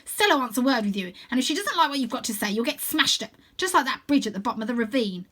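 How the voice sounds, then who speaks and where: A woman voicing a small, rough, jeering girl from a wild storybook gang, with a hard, shouty delivery. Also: fairly high pitch, animated, quick pace, voice pushed up. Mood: menacing.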